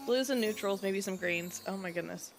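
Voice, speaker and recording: A woman's voice: medium pitch, normal speed, music in the background. A sniffly woman streamer chatting casually and thinking aloud while she plays a game. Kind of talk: casual gaming chat.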